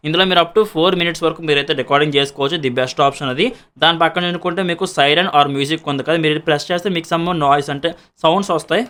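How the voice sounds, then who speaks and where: Very fast-talking man demonstrating a product. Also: on the high side, steady tone, background noise. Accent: Telugu. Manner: explanatory, repetitive, mixes in English words. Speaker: product reviewer and seller. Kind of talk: casual product demonstration to camera.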